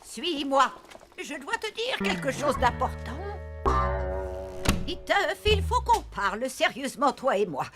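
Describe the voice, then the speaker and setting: A woman's voice: high-pitched, very animated, unhurried. A woman voicing a fussy, stern schoolteacher in a French cartoon, theatrical and emphatic. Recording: music behind the voice.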